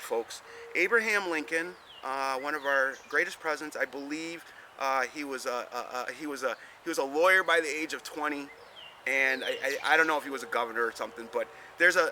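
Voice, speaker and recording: A man's voice: fairly high pitch, sharp tone. A man hosting a business advice show, talking in a rambling, folksy way straight to his listeners and often repeating words. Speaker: host giving business advice. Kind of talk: casual monologue to camera. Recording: outdoor traffic noise behind.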